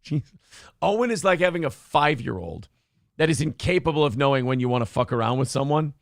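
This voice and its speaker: Gravelly, profane morning-show host. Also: male voice, a little high, lively intonation, a little fast, dry recording, clean studio sound. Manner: profane, opinionated, acts out quotes in raised voices. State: dry throat. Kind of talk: casual talk-show banter.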